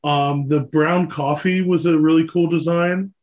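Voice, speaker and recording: A man's voice: a little high, fairly even intonation, unhurried, darkish tone. An American man from Pennsylvania asking questions as a co-host in a casual interview chat.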